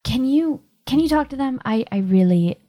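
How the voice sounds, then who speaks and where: A woman's voice: sits high, expressive, normal speed, warm tone, pitched above the performer's own voice. A woman playing a harried, uneasy barkeep in a tabletop game, hesitant and polite. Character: harried, uneasy female barkeep busy with work.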